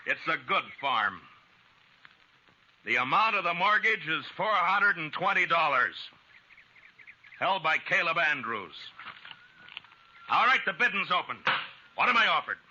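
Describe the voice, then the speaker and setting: An actor playing a wry, resolute frontier marshal in a 1950s radio western, speaking deliberately and with dry sarcasm. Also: male voice, on the high side, very animated, bright, forceful tone, heavily acted. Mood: firm, calling bids. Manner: deliberate, dryly sardonic. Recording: dull, hissy old radio recording.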